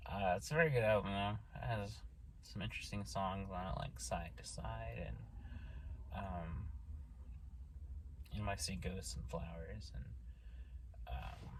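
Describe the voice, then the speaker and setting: A man with an Oklahoma accent speaks in a hoarse, slightly low voice. He rambles casually to his camera, full of ums and restarts. A faint room ambience sits under his voice. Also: takes it slowly, pauses often, background noise. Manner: rambling, many fillers and restarts. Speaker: vlogger.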